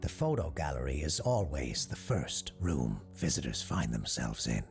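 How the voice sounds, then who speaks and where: A man acting as the grave, measured narrator of an eerie audio drama about a haunted attraction. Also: very deep voice, sing-song, soft background music, heavily acted. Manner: measured, deliberate, storytelling.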